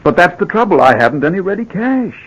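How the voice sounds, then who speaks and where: Evasive rich man, very animated. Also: high voice, fast talker, slightly rough, heavily acted, low-quality recording. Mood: evasive, condescending. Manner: hesitant, condescending, evasive. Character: evasive, smooth-talking rich businessman, a false friend. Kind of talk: old-time radio drama acting.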